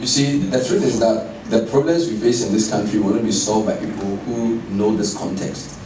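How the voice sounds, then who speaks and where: A man speaking in an animated, emphatic way in a conversational interview. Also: fairly low pitch, normal speed, slight background noise. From Ghana. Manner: animated, emphatic, laughs while telling stories. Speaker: guest speaker. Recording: roomy, distant mic with background ambience.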